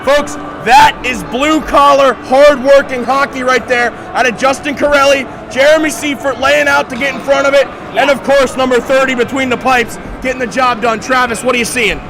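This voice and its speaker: A young man calling live hockey play-by-play, his voice a little raspy from a weekend of games. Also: extremely high pitch, steady tone, moderate pace, few pauses. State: slightly raspy from three games. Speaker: play-by-play commentator. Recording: loud crowd and arena noise.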